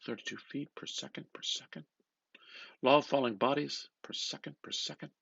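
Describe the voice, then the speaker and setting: A man gives an expressive, theatrical reading of a literary novel, moving between murmured asides and louder phrases. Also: mid-range voice, moderate pace, vocal fry, slightly rough, no room sound, highs cut off. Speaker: reader of a novel.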